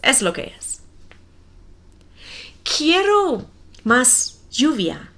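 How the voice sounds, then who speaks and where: A woman in her forties with a non-native accent, reading a simple story slowly and carefully for language learners. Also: sits high, sing-song, a bit bright. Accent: non-native Spanish accent. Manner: careful, deliberate reading with clear pauses. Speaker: Spanish teacher.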